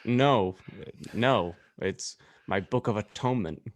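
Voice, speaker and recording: A man's voice: on the low side, takes it slowly. A man co-hosting a podcast, chatting casually and joking around. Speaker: podcast co-host.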